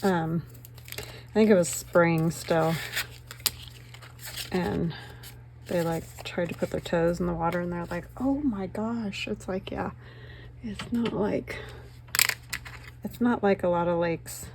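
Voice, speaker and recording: A middle-aged woman from northern Nevada, slightly hoarse, chatting and joking at herself while she crafts. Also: lowish voice, fairly even intonation, slow pace, long pauses, a little mellow, slight background noise. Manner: rambling, self-mocking, talks to viewers while working. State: slightly hoarse, losing her voice. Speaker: craft channel host.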